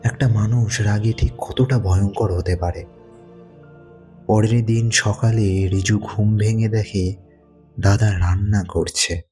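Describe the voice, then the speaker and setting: A man narrating a dramatic thriller story in measured, suspenseful phrases. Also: fairly low pitch, steady tone, warm tone, soft background music.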